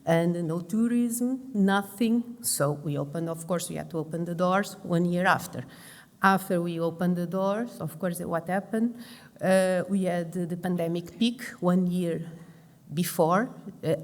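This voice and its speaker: A middle-aged woman with a Portuguese accent gives a conference lecture in English, speaking slowly and pausing to restart her phrases. Her voice sits a little low. It rings with the long reverb of a large hall. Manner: halting, repeats and restarts words. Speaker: pulmonologist and intensive care doctor. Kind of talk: conference talk.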